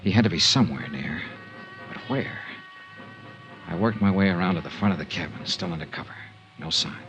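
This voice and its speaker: Man playing deep-voiced, hard-boiled radio detective. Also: brisk, lo-fi sound, music in the background, natural voice. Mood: tense, watchful. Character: tough, wry, wary male insurance investigator. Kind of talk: 1950s radio detective drama acting, first-person narration. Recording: noisy old radio recording with clicks.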